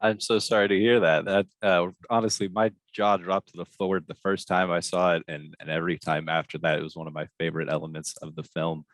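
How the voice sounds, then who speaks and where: A man with a low voice hosts an interview. He puts polite, prepared questions to his guest, and the recording is dry with hardly any room sound. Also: flat delivery, a little fast, hardly any pauses, a bit bright, no echo. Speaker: interviewer, host.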